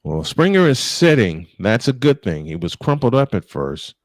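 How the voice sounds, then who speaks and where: Male play-by-play baseball announcer, mid-pitched and very lively, folksy and exclamatory, chatting between pitches on a dry mic. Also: normal speed, dry recording. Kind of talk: live baseball commentary mixed with casual chat.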